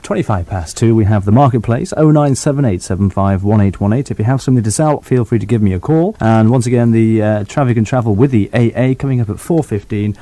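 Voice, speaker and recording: A man's voice: on the low side, fast, few pauses, mellow, dry recording. A man presenting a music radio show in a link between records. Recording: radio aircheck with music behind.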